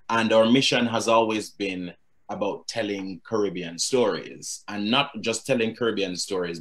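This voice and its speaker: A man in his thirties with a thick Jamaican accent, answering interview questions over a video call with an easygoing manner, often restarting his sentences. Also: fairly low pitch. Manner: easygoing, frequent fillers and restarts. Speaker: guest, theatre producer. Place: home room.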